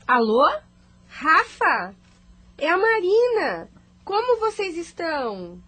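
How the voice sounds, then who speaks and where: A woman's voice: extremely high pitch, very animated, slow, a bit bright, slight background noise, heavily acted. An actress playing an impulsive, pleading, lovestruck office woman in an emotive radio soap style. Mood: anxious.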